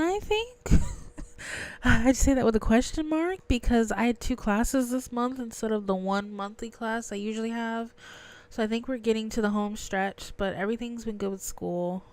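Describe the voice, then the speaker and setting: A woman's voice: on the high side, darkish tone, smooth. A young woman chatting casually with her viewers on a livestream, her voice nasal and stuffy from crying. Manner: chatty, answers viewers' messages. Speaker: livestream host.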